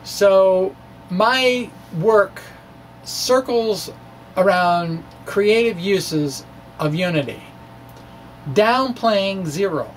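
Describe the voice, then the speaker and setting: Guy with a highish voice doing a slow dramatic science blog reading, halting, wanders off script, bit noisy. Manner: halting, theatrical, self-deprecating. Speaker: physics blogger presenting his own talk.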